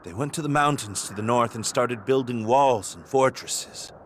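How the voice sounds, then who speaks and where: A man plays a Norse clansman in a video game. He speaks in a medium pitch and a matter-of-fact manner. Faint noise sits behind him. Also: moderate pace, lightly acted. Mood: sombre. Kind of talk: video game cutscene acting.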